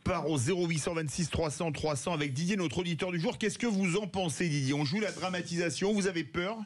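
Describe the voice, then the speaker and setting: Higher-pitched French male radio host. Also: brisk. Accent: French from France. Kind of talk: live phone-in hosting. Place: radio studio.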